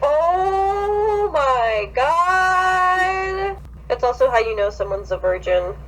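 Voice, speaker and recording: A woman's voice: very high voice, sing-song, very slow, fairly bright tone, poor audio. A woman co-hosting a podcast, reacting in casual chat with blunt, terse, slangy interjections. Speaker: podcast co-host.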